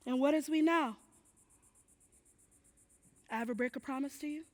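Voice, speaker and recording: A woman's voice: high-pitched, moderate pace, lightly acted. A woman playing a firm, hopeful enslaved mother in a stage drama, coaxing and admonishing in folksy period speech. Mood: firm, reassuring. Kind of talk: stage play acting. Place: indoor theatre stage.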